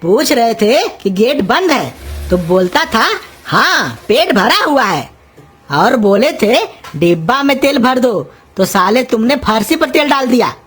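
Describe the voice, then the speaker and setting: Woman voicing a high-pitched bossy, scolding old uncle. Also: sing-song, measured pace, breathy edge, faint music behind, heavily acted. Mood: angry, threatening. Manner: scolding, threatening, colloquial. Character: gruff, bossy, quick-tempered old uncle. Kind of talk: Hindi cartoon comedy voice acting.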